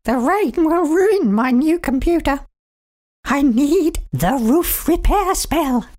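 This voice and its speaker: Storybook witch, shrill and fussy, big swoops in pitch, as a man puts her on. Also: lively intonation, slow, a little mellow, full character voice. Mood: flustered. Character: excitable grown female witch. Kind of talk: storybook read-aloud.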